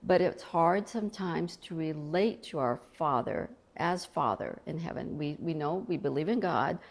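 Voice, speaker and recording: A woman's voice: low-pitched, normal speed. A middle-aged woman, an author from Colombia, talking in an earnest, reflective way about faith in an interview.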